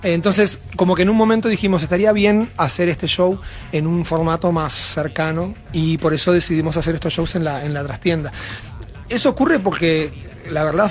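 A Uruguayan man with a Uruguayan Spanish accent, a band member chatting casually in an interview backstage, rambling with many fillers and restarts. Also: fairly high pitch. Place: backstage at a music venue.

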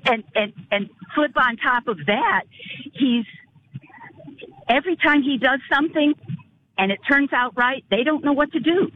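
A woman's voice: high-pitched, animated. Older woman caller on a tinny phone line. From northern Illinois. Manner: halting, repeating words. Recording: phone line with faint noise.

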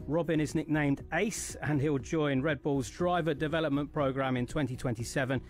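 A male sports newsreader with a fairly high voice, speaking over a music bed. His delivery is scripted and crisp, in the manner of a radio bulletin. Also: fairly even intonation, moderate pace. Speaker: sports news reader.

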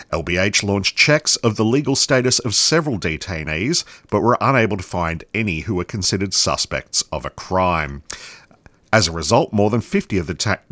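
Deep-voiced man reading radio news. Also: fairly bright tone, no room sound.

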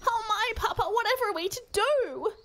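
A fussy mama koala whines in a high, fretful storybook voice, put on by a woman reading aloud. She sounds fussy and put out. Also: lively intonation, moderate pace, fairly bright tone. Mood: whining. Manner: whiny, fussy, cooing. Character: fussy whining mother koala.

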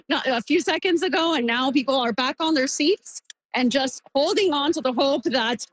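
A woman field reporter, lively and upbeat, reporting live from an outdoor street viewing party with a crowd behind her. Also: high-pitched, moderate pace, poor audio.

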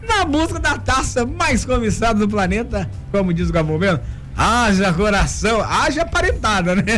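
A Brazilian man hosting a sports radio show, animated and laughing as he leads segments. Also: high voice, moderate pace, faint music behind. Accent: Brazilian Portuguese. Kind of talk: casual sports radio chat.

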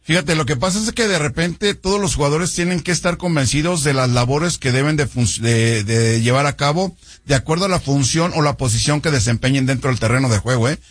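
Guy co-hosting sports radio, voice a bit high, very dry audio, chatty analysis. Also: a little fast, no echo. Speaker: sports radio co-host and commentator. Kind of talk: conversational sports commentary.

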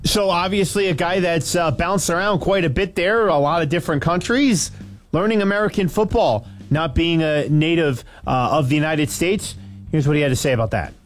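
A man hosting a sports radio update, reading with a smooth, steady delivery. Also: fairly high pitch, normal speed, soft background music. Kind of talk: radio update and sponsor read.

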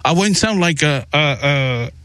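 Male radio host, fairly high voice, loose and rambling, greets guests warmly. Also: expressive, measured pace, clean tone, no room sound. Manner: rambling, repeats words and restarts often. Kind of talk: warm casual interview with Pacific greetings.

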